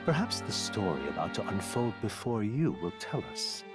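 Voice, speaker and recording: Deep, enigmatic, eloquent guide. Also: male voice, sing-song. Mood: contemplative, resolved. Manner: measured, eloquent, philosophical. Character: enigmatic, courteous, thoughtful male guide to another world. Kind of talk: fantasy video game narration. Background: loud music.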